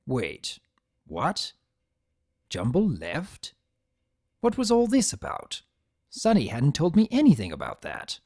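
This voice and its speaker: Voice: mid-range voice, wildly swooping pitch, slow, pauses often, mellow, no room sound, studio quality, heavily acted. A narrator playing a sardonic male chaos spirit trapped as a statue, speaking in wry conversational asides in a cartoon pony story. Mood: surprised.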